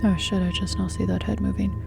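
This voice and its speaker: Woman doing a lets play, mellow voice, kinda stumbles reading, music quietly behind her. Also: mid-range voice, wildly swooping pitch, gravelly. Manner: halting, stumbling when reading. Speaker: gaming video host. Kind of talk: casual let's-play, reading game text aloud.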